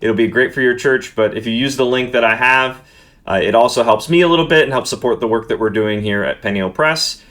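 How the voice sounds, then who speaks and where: A man's voice: mid-range voice, a little fast, fairly bright tone. A man talking through a book review in an earnest, thoughtful way, often restarting his words. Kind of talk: unscripted book review monologue.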